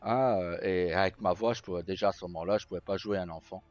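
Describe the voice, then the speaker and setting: A man live streaming, casually telling roleplay stories in a rambling, halting way with pauses mid-sentence. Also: low-pitched, steady tone. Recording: slightly muffled, roomy, faint music. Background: background music.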